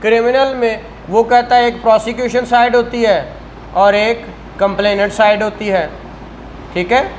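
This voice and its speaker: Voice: mid-range voice, fairly even intonation, normal speed, slight background noise, low-quality recording. A law lecturer with a Pakistani accent explains in a conversational, didactic way, repeating points and asking rhetorical questions.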